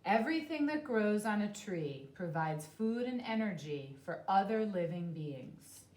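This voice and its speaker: A woman reading a children's nonfiction book aloud like a teacher, in a deliberate, clearly phrased manner. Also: medium pitch, sing-song, darkish tone.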